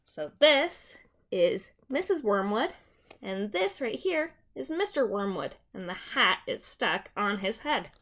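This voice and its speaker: A woman teacher reading a simplified children's story aloud and explaining it in a deliberate, simple way for young children. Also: medium pitch, sing-song, fairly bright tone.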